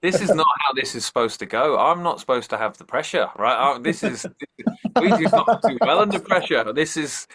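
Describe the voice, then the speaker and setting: A man with an English accent, a band frontman chatting as a guest, talkative and quick to joke at his own expense. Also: medium pitch, lively intonation, fairly bright tone, husky. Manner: joking, talkative, self-mocking. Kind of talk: casual interview chat.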